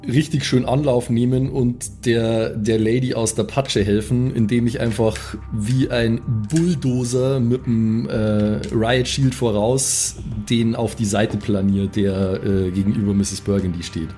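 Male player, medium pitch, casual improvised game talk, thinking aloud, faint music behind. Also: fairly even intonation, measured pace, few pauses, mellow.

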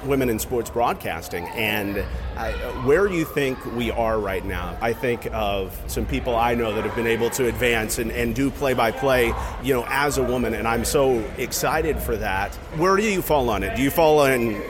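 An enthusiastic male podcast host with a mid-pitched voice. He talks in a chatty way, moving between interview questions and addressing his listeners. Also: moderate pace. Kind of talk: sports interview and show outro.

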